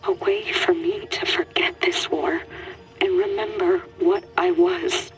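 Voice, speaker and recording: An actress playing a remorseful, homesick scientist confessing in a secret video game audio log, hushed, breathy and slow. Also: female voice, extremely high pitch, big pitch swings, soft background music, exaggerated voice, rough recording. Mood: wistful, secretive.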